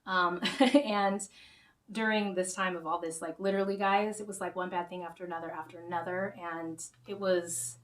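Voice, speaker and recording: A woman's voice: on the low side, fairly even intonation, normal speed. A woman hosting her own music show, chatting casually to viewers in a rambling way with many restarts, her voice a little worn. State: slightly losing her voice. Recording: roomy sound, mic far off, faint guitar.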